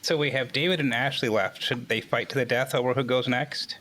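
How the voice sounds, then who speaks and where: A man's voice: mid-range voice, brisk, fairly bright tone, slight background noise. A man hosting a casual group interview. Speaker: interview host. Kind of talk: casual moderating of a group chat.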